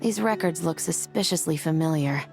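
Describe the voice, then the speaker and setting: An actress voicing a composed, principled law officer in a fantasy video game, speaking in a measured, earnest and authoritative way. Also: female voice, deep voice, creaky, music in the background. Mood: serious.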